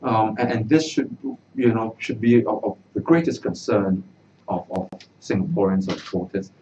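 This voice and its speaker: A man speaking earnestly at a press conference, halting and repeating words as he makes his case. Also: fairly low pitch, mellow. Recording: distant mic, dull, noisy room.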